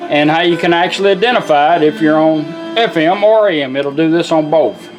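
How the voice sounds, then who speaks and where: Guy doing a folksy product demo, voice a bit high, music in the background. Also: fairly quick. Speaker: car radio builder giving a product demonstration. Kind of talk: informal hands-on product demonstration. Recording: music playing behind the voice.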